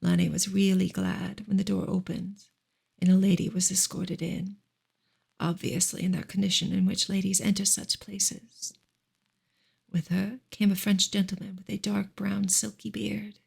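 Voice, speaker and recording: A woman reading a novel aloud as soft ASMR, breathy and partly whispered, in a gentle, measured storytelling manner. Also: medium pitch, flat delivery. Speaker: ASMR reader and channel host.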